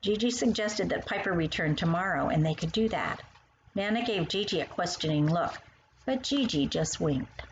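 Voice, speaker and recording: A woman narrating a children's story as its author, in an expressive style that acts out the dialogue. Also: on the low side, moderate pace, muffled recording, a little hiss or hum.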